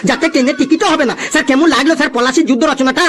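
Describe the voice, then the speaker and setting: A man's voice: very high-pitched, fairly even intonation, very fast, a bit bright. A man voicing a cheeky, boastful schoolboy in a comic cartoon, reciting with singsong eagerness. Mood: eager, reciting.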